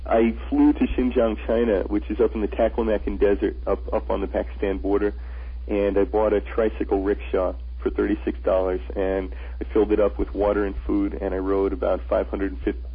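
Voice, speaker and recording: A man with a very low, gravelly voice, speaking as a radio interview guest over a tinny phone line. He tells his travel stories earnestly and flatly, often stumbling and restarting mid-sentence. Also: brisk. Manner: earnest storyteller, frequent restarts.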